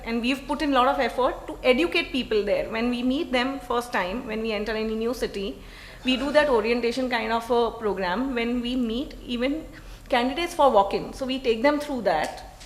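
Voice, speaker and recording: Woman on a panel talking about hiring, higher voice, echoey room. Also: moderate pace, a little hiss or hum. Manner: earnest, explanatory, with many fillers. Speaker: retail executive on a panel. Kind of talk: panel discussion.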